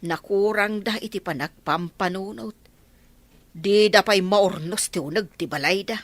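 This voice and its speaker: A woman's voice: mid-range voice, measured pace, no room sound, lightly acted. A Filipino woman acting a worried, disapproving mother in a radio drama, with a Filipino accent.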